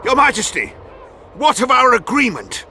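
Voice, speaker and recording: An actor playing an obsequious, greedy middle-aged count in a video game, speaking in a formal, deferential way. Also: male voice, very high-pitched, wildly swooping pitch, moderate pace, pushed and bright, slight background noise, full character voice. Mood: indignant alarm. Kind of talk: dramatic video game acting.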